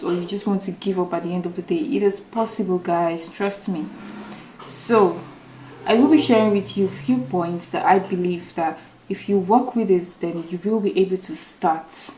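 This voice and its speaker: A woman with a small, soft voice speaks earnestly to her viewers, circling back over her points. Her tone is dark and mellow. The room around her rings with echo. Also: lowish voice, a little hiss or hum. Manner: earnest and repetitive, addresses viewers as guys. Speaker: YouTuber. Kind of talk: motivational talk to camera. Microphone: new microphone without full setup.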